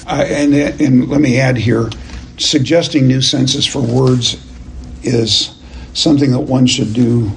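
A man teaching a Bible class verse by verse, speaking in a deliberate, halting and emphatic way and putting questions to his listeners. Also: medium pitch, creaky, slight background noise, rough recording. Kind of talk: expository lecture to a class, verse by verse.

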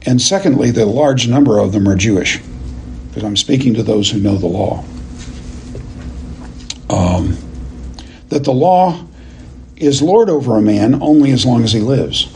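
A man teaching a Bible class verse by verse, speaking in a deliberate, halting and emphatic way and putting questions to his listeners. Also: mid-range voice, lively intonation, warm tone, a little hiss or hum. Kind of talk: expository lecture to a class, verse by verse.

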